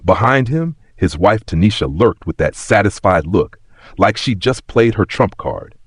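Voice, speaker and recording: A man's voice: fairly low pitch, very animated, unhurried, breathy edge, no room sound. A man narrating a scripted sentimental story in a dramatic, folksy storytelling manner.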